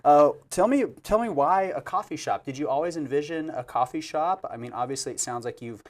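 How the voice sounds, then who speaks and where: A man from New Mexico hosting a relaxed podcast interview, joking and thinking out loud as he talks. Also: on the high side, brisk. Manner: playful, joking, thinks aloud. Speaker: podcast host. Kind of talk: casual interview chat.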